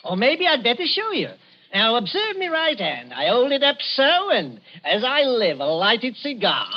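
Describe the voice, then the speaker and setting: A man's voice: very high-pitched, wildly swooping pitch, moderate pace, exaggerated voice. An actor playing a cheeky Cockney magician working as a British agent, with breezy showman's patter, in 1940s radio drama style. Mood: showy. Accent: South London Cockney. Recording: dull old radio broadcast.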